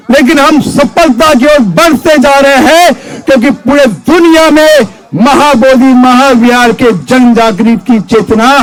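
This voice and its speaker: A man, a Buddhist monk, delivers an impassioned political rally speech from a stage, declaiming forcefully and driving points home with repeated rhetorical questions. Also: very high voice, low-quality recording. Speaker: Buddhist monk and activist speaker.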